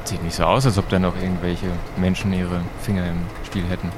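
A man's voice: sits low, level tone, a little fast, noisy background, lightly acted. A man playing an inquisitive, easygoing time-travelling battery expert in an audio drama, speaking casually and a little hesitantly. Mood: curious, explaining. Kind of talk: amateur educational audio drama dialogue.

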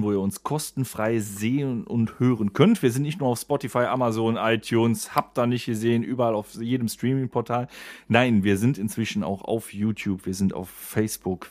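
A man's voice: on the low side, no room sound. A man co-hosting a podcast in a casual, conversational style. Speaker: podcast co-host. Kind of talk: casual chat.

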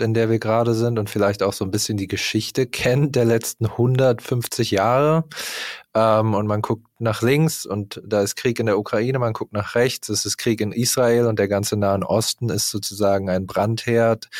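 A man's voice: on the low side, no room sound, clean studio sound. A man interviewing a guest, asking long, thoughtful, probing questions. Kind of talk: conversational interview.

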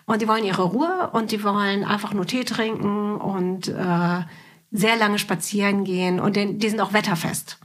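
A middle-aged woman hosts a relaxed conversational podcast. Her voice is notably bright and forward, at an average pitch for a woman. She speaks in a chatty, animated way. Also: normal speed. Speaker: podcast host. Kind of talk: casual conversational interview.

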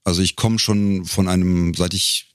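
A middle-aged man talking casually as a podcast guest, pausing and restarting as he thinks aloud. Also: deep voice, steady tone, dry recording, clean studio sound. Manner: hesitant, thinking aloud, broken-off phrases. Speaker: podcast guest, professional voice actor. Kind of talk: casual interview talk.

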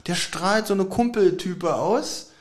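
A man co-hosting a casual podcast, speaking in a slightly high voice. He jokes and teases his partner and laughs easily. His talk is loose and conversational. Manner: jokey, quick to laugh and tease. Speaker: podcast co-host.

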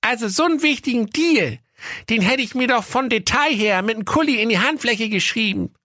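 Comic fairy tale heroine played by a woman: young, exasperated, dim, dramatic, lively pitch swings, close dry recording. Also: a little fast, no room sound. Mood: flustered. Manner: stammering, exasperated.

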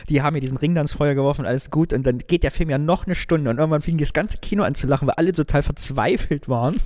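A man from northern Germany, co-hosting a podcast, chatting in a lively storytelling way. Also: a little high, fast. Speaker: podcast co-host. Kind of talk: casual chat.

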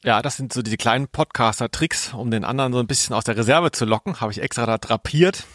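A man with a medium-pitched voice co-hosts a podcast in casual banter. He teases drily, and the sound is close and free of room echo. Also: fast talker, fairly bright tone. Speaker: podcast co-host.

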